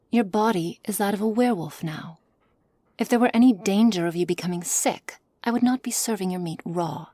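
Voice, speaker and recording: A woman playing a haughty, condescending witch in an ASMR roleplay, speaking softly in measured, formal phrases with a commanding manner. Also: mid-range voice, no room sound. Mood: stern, impatient. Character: cold, haughty witch, imperious captor of a werewolf. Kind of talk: ASMR roleplay, scripted character acting. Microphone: close studio mic, dry.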